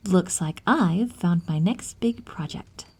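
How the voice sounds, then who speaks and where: A woman acting an inquisitive, determined divine healer and wife in an ASMR audio roleplay, soft and thoughtful, at times whispery. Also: lowish voice, slow pace, slightly rough, full character voice.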